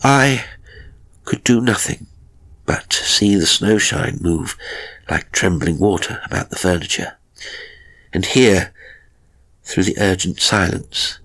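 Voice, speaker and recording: Male audiobook narrator, low-pitched, husky, measured, suspenseful, dramatic ghost-story reading with deliberate pauses. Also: expressive, a bit bright, low-quality recording. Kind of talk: dramatic first-person horror story narration.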